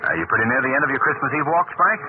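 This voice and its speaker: A man playing a friendly, brisk gentleman sleuth in a 1940s-style radio drama. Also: medium pitch, lively intonation, lightly acted. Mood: genial. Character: Lamont Cranston, friendly, smooth gentleman sleuth. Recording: tinny, noisy old-time radio recording.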